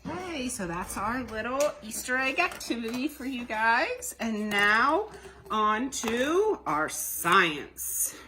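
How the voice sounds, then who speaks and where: A woman's voice: on the high side, big pitch swings, takes it slowly, background noise. A woman hosting a children's storytime, explaining a science activity simply and putting questions to young children. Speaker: library storytime host for children.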